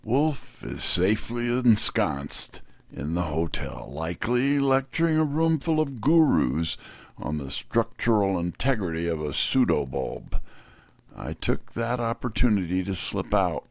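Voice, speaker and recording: A man's voice: low voice, big pitch swings, unhurried, gravelly, no echo, exaggerated voice. A man playing a tough, wisecracking private-eye narrator in an audio drama, wry and slangy. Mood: wry, narrating. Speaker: narrator and lead character. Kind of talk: first-person hardboiled detective narration and dialogue.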